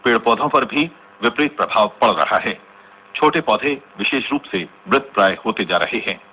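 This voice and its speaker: Actor as low-voiced, sober male newsreader. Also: animated, lightly acted. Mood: grave, formal. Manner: formal, measured announcer delivery. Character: sober male radio news announcer reading grave bulletins. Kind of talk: formal scripted news reading in radio drama. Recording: tinny band-limited radio broadcast, faint noise.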